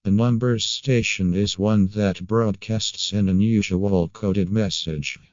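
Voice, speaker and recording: Guy narrating a creepypasta, really slow n measured, kinda muffled lo-fi sound, no room echo. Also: fairly low pitch, steady tone, darkish tone.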